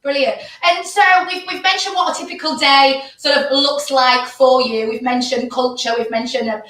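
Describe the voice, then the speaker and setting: Enthusiastic female host, bright voice, roomy and off mic. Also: sits high, fairly even intonation, moderate pace, far from the mic. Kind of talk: live online show chat.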